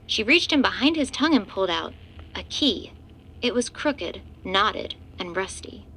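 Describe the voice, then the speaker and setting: A woman narrates a scripted drama in third person. Her delivery is very varied in pitch with a gravelly creak, over faint noise. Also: animated, unhurried, sharp tone, poor audio.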